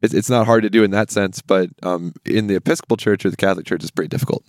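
An American man with a low voice talks at a quick clip in a casual, explanatory way. He qualifies his points carefully as he goes, and the sound is close and dry. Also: a little mellow, no echo. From US South.

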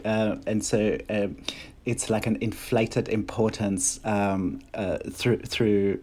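A man's voice: lowish voice, flat delivery, slow, a little mellow, a little hiss or hum. A middle-aged man with a German accent, speaking English as a second language, chatting as an interview guest and often restarting his phrases. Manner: hesitant, many restarts and fillers. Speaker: guest choreographer and dancer. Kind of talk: casual interview chat.

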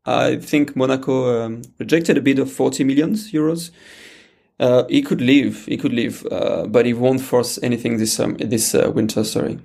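A man with a clear French accent talks football as a podcast guest, his voice gravelly with a touch of fry. He speaks hesitantly, filling gaps with "uh" and restarting phrases, as a non-native speaker does. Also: mid-range voice, steady tone, moderate pace, darkish tone. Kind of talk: casual podcast chat.

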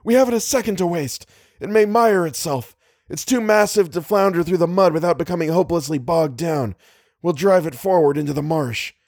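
A man voicing an urgent, commanding man in a horror story, shouting and sharp with alarm. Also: on the high side, fairly quick, no room sound, studio quality, pitched above the performer's own voice. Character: Little, urgent, commanding man driving in terror. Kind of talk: pulp horror audiobook dialogue.

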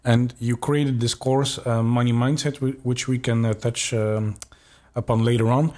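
A man's voice: medium pitch, flat delivery, moderate pace, mellow. A man from Belgium, hosting a podcast interview, friendly, with many fillers and self-corrections. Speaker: podcast host.

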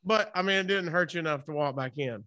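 A man doing a casual, playful interview as the host, chatty and prompting with quick follow-ups. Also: fairly high pitch, sharp tone.

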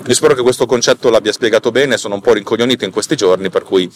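A man's voice: fairly low pitch, very fast, a little hiss or hum. A man hosting a podcast, giving informal technical explanations in a hesitant, self-correcting, colloquial way. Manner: colloquial, self-deprecating, mildly profane. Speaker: podcast host.

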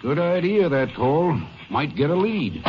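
Folksy, lively-pitched western sheriff. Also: male voice, a little slow. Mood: curious. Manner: laconic, folksy, questioning. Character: folksy, inquisitive male county sheriff in a western. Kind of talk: 1950s radio drama acting. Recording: muffled, very noisy old radio recording.

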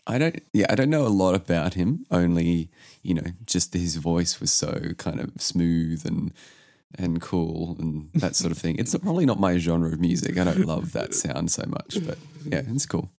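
Casting: male podcast host, flu-roughened, very low register, dry close sound, relaxed and rambling. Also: normal speed, dry recording. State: sick with the flu, voice lowered. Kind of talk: casual music podcast chat.

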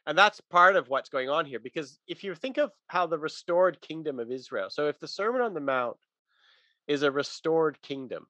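A man's voice: a little high, expressive, moderate pace, sharp tone, dry recording, lo-fi sound. A man talking through religious ideas in a casual, conversational but emphatic teaching manner.

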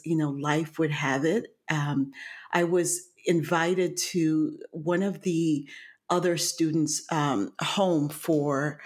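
A woman from Haiti whose first languages are Haitian Creole and French, a guest telling her story reflectively with many fillers. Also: low voice. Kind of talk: storytelling interview.

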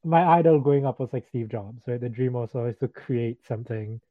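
A man's voice: mid-range voice, brisk, dry recording. A young man from Singapore, a freelance guest, talking casually with lots of "like" and "you know". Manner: casual, many fillers like "like" and "you know", easy laughs. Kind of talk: casual interview chat. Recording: tinny phone-like remote line, dry.

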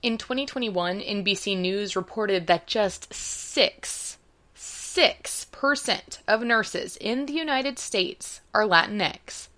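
A woman radio news host reading scripted narration in a measured, clear reporting delivery. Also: mid-range voice, bright, forceful tone, slight background noise. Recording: music bed under narration.

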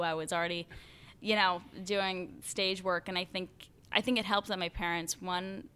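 A young American woman, an actor and singer, chatting as a podcast guest with lots of fillers and animated quotes. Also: on the low side, smooth. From United States. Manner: chatty, frequent "like" and "you know" fillers, animated quoting of others. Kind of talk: casual conversational interview.

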